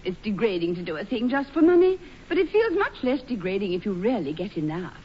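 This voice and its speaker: Actress playing a swooping, imperious English stage star. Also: female voice, medium pitch, very animated, brisk, close to an everyday voice. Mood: breezily haughty. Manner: grand, insistent, theatrical. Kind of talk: 1940s radio comedy acting. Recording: dull, noisy 1940s radio recording.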